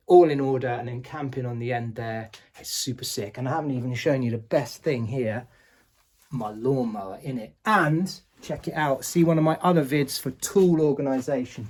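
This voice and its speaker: A man's voice: medium pitch, a little mellow. A man playing up a boastful YouTuber persona, touring his garage and giving test tips to pupils in a jokey, slangy way. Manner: slangy, boastful, jokey with repeated "innit" and "sick". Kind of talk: tongue-in-cheek mock-YouTuber garage tour and advice.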